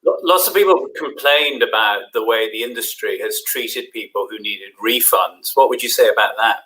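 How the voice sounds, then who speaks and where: A man's voice: fairly low pitch, normal speed, sharp tone, rough recording. A man interviewing a business leader, asking direct, plain questions.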